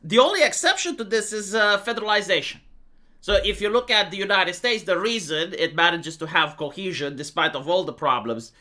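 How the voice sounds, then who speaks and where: A man's voice: high voice, expressive, fairly quick, sharp tone. A young man from Romania with a Romanian accent, giving a casual, opinionated political monologue full of fillers. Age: young adult. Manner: opinionated, matter-of-fact, many fillers. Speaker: political commentator.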